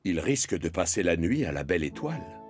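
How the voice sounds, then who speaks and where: A man's voice: deep voice, big pitch swings, brisk, music in the background. A man narrating a scripted children's cartoon story as the storyteller.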